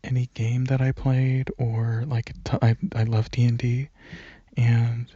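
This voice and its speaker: Male ASMR creator, very breathy and near-whispered, low-key flat delivery, halting casual rambling, dry close mic. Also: no room sound, lo-fi sound. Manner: hesitant, halting, informal.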